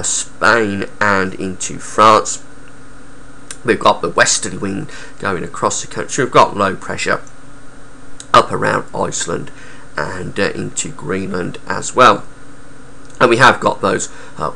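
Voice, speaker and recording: Croaky man with a sore throat. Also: lowish voice, bright, forceful tone, a little hiss or hum. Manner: halting, many uh fillers. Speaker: weather forecaster. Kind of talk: explaining weather charts.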